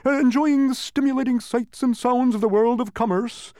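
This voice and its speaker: A man voicing a pompous, flustered bug gentleman in a storybook, nervous, stammering and eager to please. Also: sits high, moderate pace, no echo, studio quality, voice pushed up, natural voice. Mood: nervous, pleading.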